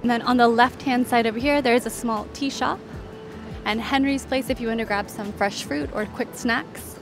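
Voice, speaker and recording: A woman with a somewhat high voice narrates a walking tour as a travel host. She is conversational and informative, pointing out one sight after another in an orderly way. Faint music plays behind her. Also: fairly even intonation, normal speed. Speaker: travel guide and vlog host.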